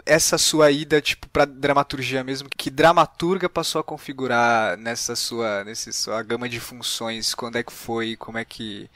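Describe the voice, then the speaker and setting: A man's voice: medium pitch, flat delivery, normal speed, few pauses, fairly bright tone. A man hosting a podcast, asking casual, slangy questions that he rephrases as he goes. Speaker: podcast host, interviewer.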